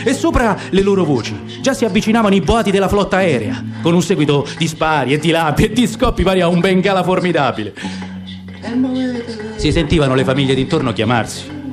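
A man reading a novel aloud for radio theatre, telling it expressively with lively shifts in pace. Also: a little high, a little fast. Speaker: narrator. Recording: music underneath the narration.

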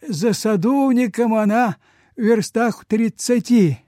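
An actor playing a frail, humble old peasant beggar in a Soviet radio play, halting, deferential and folksy. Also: male voice, very high-pitched, warm tone, no room sound, studio quality. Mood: weak, pleading. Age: older.

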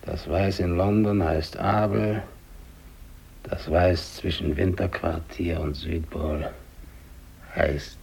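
An actor playing a dying, despairing English polar explorer alone, brooding and halting, in a 1950s German radio drama monologue. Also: male voice, low-pitched, steady tone, full character voice. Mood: hushed, resigned. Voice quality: gravelly, husky. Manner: halting, brooding, repeats words, hushed to declamatory.

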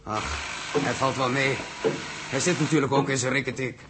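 An actor as a male spaceship pilot in a 1960s radio serial. He is terse, authoritative and intense at a medium pitch. Loud engine-like noise fills the old recording. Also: steady tone, normal speed, lightly acted. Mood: terse, focused. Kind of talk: 1960s Dutch science fiction radio drama.

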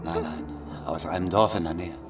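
An actor playing a watchful, admiring village mercenary, measured and deliberate, in a German historical radio drama. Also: male voice, low-pitched, fairly even intonation, natural voice. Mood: calm, ingratiating. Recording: distant from mic, music behind.

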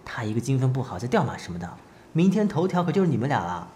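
A man plays an anxious, teasing young assistant in an audio drama. He chatters quickly with expressive pitch over noticeable background noise. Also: natural voice. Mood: worried. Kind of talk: Chinese audio drama acting.

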